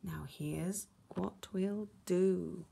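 A woman's voice: on the low side, steady tone, drawn-out words, vocal fry, noisy background, full character voice. A woman voicing a clever, resolute squid in a children's story, questioning and then taking charge. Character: clever squid, concerned and reassuring problem-solver.

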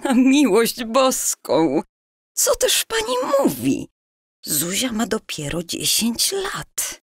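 Actress as young female café owner, 1930s audio drama: wary, incredulous, polite, husky, measured pace. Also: a little high, huge pitch swings, no room sound, clean studio sound, full character voice. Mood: shocked, distrustful. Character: astonished, wary young pre-war Warsaw café owner.

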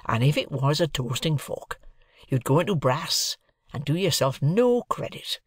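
A man voicing a simple, kindly male village blacksmith who speaks slowly and earnestly in rustic speech. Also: fairly high pitch, big pitch swings, breathy edge, dry recording, studio quality, full character voice.

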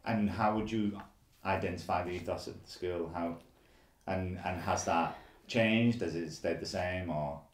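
A man's voice: low-pitched, measured pace, echoey room. A man interviewing off camera, asking questions with many restarts. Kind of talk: oral history interview.

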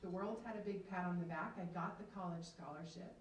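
A woman's voice: lowish voice, brisk, highs cut off, slight background noise. A woman in her thirties from the American South giving an earnest faith testimony as keynote speaker, holding a handheld mic in a large banquet room. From Atlanta, US South. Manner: earnest, emphatic storytelling. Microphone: handheld microphone. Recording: echoey hall, from far off.

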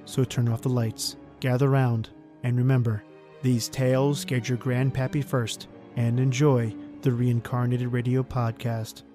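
A man giving a measured, announcer-like scripted introduction as podcast host. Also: medium pitch, level tone, mellow, soft background music.

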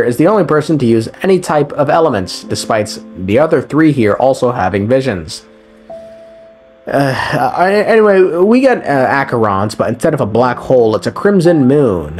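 Irreverent man with lively, swinging pitch. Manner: irreverent, sarcastic, profane. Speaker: video creator recapping a game story. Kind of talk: casual story recap commentary. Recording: voice over background music. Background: background music.

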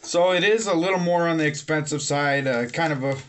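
A man in his thirties casually reviewing a beer at home, relaxed and filling pauses with "um" and "uh", recorded on a webcam or phone at his desk. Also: on the high side, a little hiss or hum. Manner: relaxed, many fillers and pauses. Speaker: beer reviewer, channel host. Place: home room with bookshelves, indoors.

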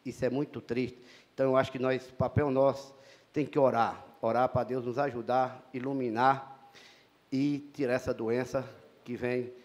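A man's voice: medium pitch, flat delivery, moderate pace, darkish tone. A middle-aged man with a Bahian Portuguese accent, giving a formal, emphatic inauguration speech as a city councilman in a council chamber, speaking into a handheld mic at a lectern. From Brazil. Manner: formal, emphatic, repetitive phrasing.

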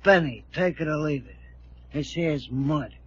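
An actor playing a surly, sneering hired tough in an old-time radio drama, on an old broadcast recording. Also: male voice, a little high, expressive, unhurried, slightly rough, muffled recording, exaggerated voice.

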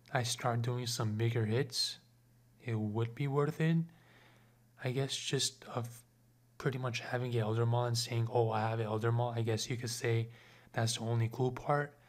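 Young man, gaming commentary, chatty, mid-range pitch, home desk mic. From Lebanese Armenian. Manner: casual and chatty, asks viewers for comments. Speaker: gaming YouTuber. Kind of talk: gameplay commentary.

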